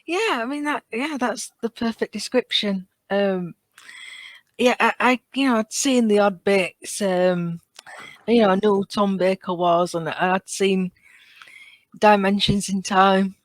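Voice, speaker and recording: A woman in her thirties with a British accent talks in a relaxed, conversational podcast style. She is chatty and tells things with animation. The sound is dry, with very little room in it. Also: medium pitch, unhurried, dry recording. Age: 30s. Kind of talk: casual conversational chat.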